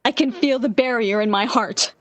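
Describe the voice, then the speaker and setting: A woman's voice: a little high, moderate pace, no echo, natural voice. An actress playing a lovesick, obsessive jilted young woman in the impassioned style of 1940s radio drama. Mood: bitter, resentful. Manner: melodramatic, yearning, impassioned.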